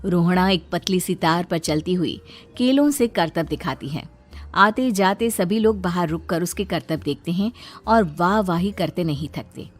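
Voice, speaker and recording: A woman's voice: fairly low pitch, unhurried, faint music behind. A woman narrating a scripted children's story as storyteller.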